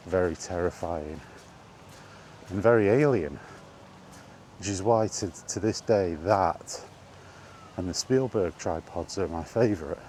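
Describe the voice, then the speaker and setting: A man chatting casually on his own, enthusiastic but halting, with frequent ums and restarts. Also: deep voice, a little mellow, a little hiss or hum. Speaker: vlogger. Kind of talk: casual rambling monologue. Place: outdoors, walking.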